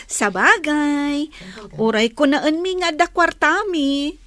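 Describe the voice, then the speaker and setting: A woman's voice: high voice, very slow, fairly bright tone, full character voice. A woman playing a cheerful young student in an audio drama. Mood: cheerful, teasing.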